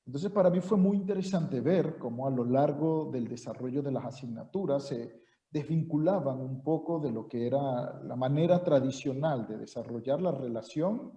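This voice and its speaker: A middle-aged man from Venezuela with a Venezuelan accent, giving a formal seminar talk over a video call, measured and full of "eh" fillers. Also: medium pitch, warm tone. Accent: Venezuelan Spanish. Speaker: university lecturer. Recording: dull echoey video-call audio.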